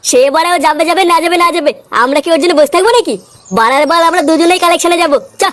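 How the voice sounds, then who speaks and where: Blunt cartoon gang-leader lad, performer acting him out: squeaky pitched-up voice, extremely fast, swearing delivery. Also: fairly bright tone, dry recording. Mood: dismissive. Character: Poltu, brash foul-mouthed village gang-leader friend. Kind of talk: Bengali comedy cartoon dubbing.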